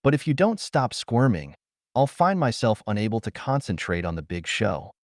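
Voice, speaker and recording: Man playing sarcastic grumbling fellow, animated. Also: mid-range voice, moderate pace, no echo, clean studio sound, natural voice. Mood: wry. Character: sarcastic, jealous young man, wryly grumbling.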